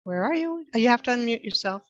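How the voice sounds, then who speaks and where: Female chair, informal moderating, slightly high voice, a little dull video-call sound. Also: lively intonation, fairly quick, no room sound. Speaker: meeting chair welcoming members.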